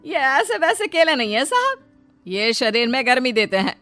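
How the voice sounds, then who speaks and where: A woman playing a boastful, menacing middle-aged banana-seller witch in an animated horror folk tale, with drawn-out threats and cackles. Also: sits high, faint music behind. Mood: boastful, coaxing.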